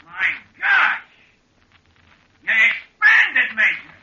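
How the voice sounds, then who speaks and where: An actor playing a flustered, timid amateur magician sidekick in a 1940s radio drama, excitable and given to folksy exclamations. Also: male voice, very high-pitched, extremely slow, creaky, heavily acted. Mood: astonished. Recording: dull old radio recording, roomy echo.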